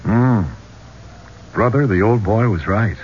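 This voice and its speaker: An actor playing a forward, flirtatious archaeologist's assistant with a breezy, familiar manner in a radio drama. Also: male voice, lowish voice, slow pace, a little mellow. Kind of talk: 1970s radio drama acting. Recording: dull, noisy 1970s radio recording.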